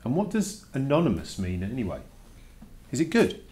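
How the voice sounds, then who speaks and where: A man's voice: mid-range voice, huge pitch swings, normal speed, darkish tone. Man reading as a reluctant, grumbling schoolboy. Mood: earnest, thoughtful. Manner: reluctant, questioning, emphatic. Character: reluctant schoolboy writing a grudging journal to his teacher. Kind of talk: dramatic read-aloud of a children's verse novel.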